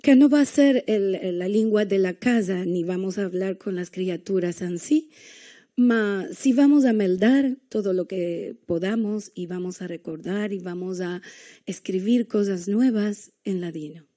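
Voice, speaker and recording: A woman from Argentina with an Argentine accent, giving a university lecture with small pauses and hesitations. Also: mid-range voice, warm tone. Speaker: guest lecturer.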